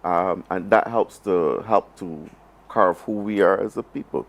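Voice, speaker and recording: Male interview guest, Barbadian accent, very low pitch, halting delivery with emphatic repetition. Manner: halting, repeats phrases emphatically. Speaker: government program advisor, interview guest. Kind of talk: live broadcast interview.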